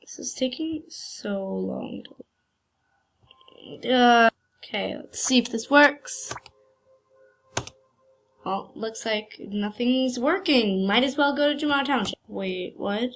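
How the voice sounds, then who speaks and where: A woman's voice: fairly high pitch, takes it slowly, pauses often, fairly bright tone. A woman with a cold gives rambling, hesitant commentary while playing a computer game, with faint game music behind her. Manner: hesitant and rambling, many fillers. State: has a cold. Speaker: gaming channel host. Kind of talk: casual gameplay commentary. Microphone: computer screen recording.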